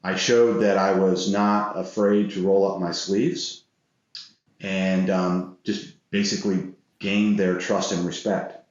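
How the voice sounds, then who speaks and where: A middle-aged American man answering interview questions as a guest, earnest and measured in the way he talks. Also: low voice, level tone. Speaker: interview guest, business executive. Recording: very echoey room, slightly dull sound.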